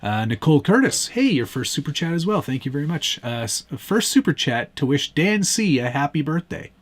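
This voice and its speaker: Host, male, animated intonation, teasing banter, reads chat. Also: on the high side, a little fast. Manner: teasing, reads viewer comments aloud. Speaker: live-stream host. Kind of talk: casual live-stream chat.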